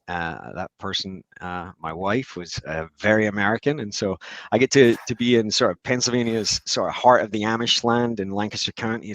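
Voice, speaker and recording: A Scottish man in his forties talks as a podcast guest with a deep, low voice. He rambles cheerfully, restarting his sentences often. The sound is dry and close. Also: fairly bright tone, no room sound. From Scotland. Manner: upbeat, rambling with many restarts and fillers. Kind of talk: casual interview chat.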